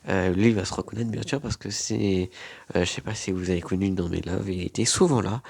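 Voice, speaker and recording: Low gravelly young man with a cold. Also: fairly quick, darkish tone. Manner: rambling, lots of fillers and repetitions. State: has a cold. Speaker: YouTuber. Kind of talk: casual to-camera vlog.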